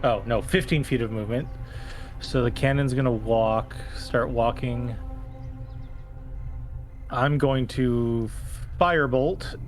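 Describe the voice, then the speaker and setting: Slow-paced man playing a tabletop game. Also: mid-range voice, fairly even intonation. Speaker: player in a tabletop roleplaying game. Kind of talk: casual game chat. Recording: loud music under the voice. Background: loud background music.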